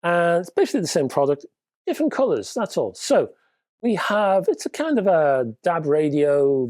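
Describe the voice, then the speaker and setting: A man's voice: on the high side, a little mellow, dry recording, clean studio sound. A man hosting a hi-fi news roundup, reading out product details in a casual, chatty way with offhand asides. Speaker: host.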